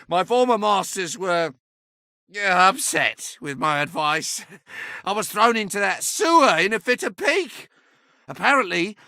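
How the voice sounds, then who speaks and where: High-pitched, impish, pompous talking relic. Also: male voice, creaky, no echo, studio quality, heavily acted. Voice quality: animated, theatrical delivery. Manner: sardonic, pompous, chatty, given to chuckling. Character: impish, talkative, bawdy ancient sentient staff, boastful adviser. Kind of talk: theatrical video game character acting.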